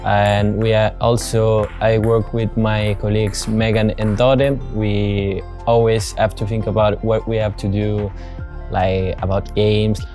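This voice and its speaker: Italian volunteer in his twenties, lowish voice, earnest testimonial over faint music. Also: flat delivery, a little slow, darkish tone. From Italy. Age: 20s. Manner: earnest, simple phrasing in non-native English. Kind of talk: interview testimonial.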